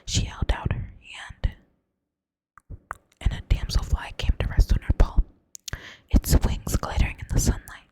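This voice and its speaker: A woman with a Southern US accent reading a novel aloud in a soft whisper, now and then stumbling over words and correcting herself. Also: unhurried, pauses often. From Southern United States. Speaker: reader.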